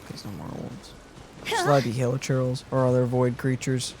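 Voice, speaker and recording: A man's voice: medium pitch, level tone, moderate pace. A man making casual, joking let's-play remarks in slangy speech. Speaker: video game streamer. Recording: clear background noise, clicks.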